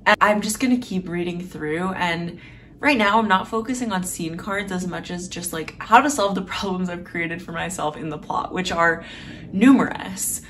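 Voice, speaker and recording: A woman's voice: on the low side, normal speed, fairly bright tone, distant mic, a little hiss or hum. A young adult woman talking in an informal, self-deprecating way, as in a casual personal vlog. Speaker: novelist vlogger. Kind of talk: casual vlog chat to camera. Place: small home room with desk.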